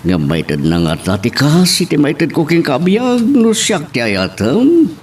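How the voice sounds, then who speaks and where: Man acting as a slow, swooping amorous older suitor. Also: on the high side, huge pitch swings, soft background music. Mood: pleading, boastful. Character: amorous older male pensioner wooing a young woman. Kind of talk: Ilocano radio-style drama acting.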